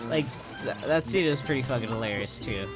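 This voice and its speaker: A woman's voice: a bass voice, lively intonation, brisk, loud music behind the voice. A woman giving casual commentary over a cartoon, echoing lines in short reactions and swearing casually. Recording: loud cartoon audio behind the voice.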